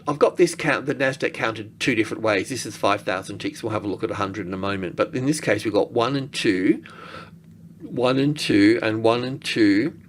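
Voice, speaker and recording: A man giving casual, unscripted screen-share commentary as an analyst, halting and thinking aloud as he goes. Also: fairly low pitch, slight background noise. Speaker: market analyst presenting.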